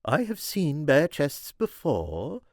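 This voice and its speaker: A man's voice: fairly high pitch, wildly swooping pitch, takes it slowly, no echo, studio quality, voice pushed up, exaggerated voice. A man voicing a haughty, flirtatious countess in an audiobook, with a posh British accent and an imperious drawl. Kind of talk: audiobook character voice.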